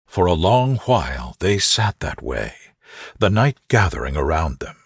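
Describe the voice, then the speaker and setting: A man narrating a bedtime story in a soft, gentle, soothing and unhurried manner. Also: sits low, big pitch swings, vocal fry, husky, dry recording, studio quality. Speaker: narrator, reader.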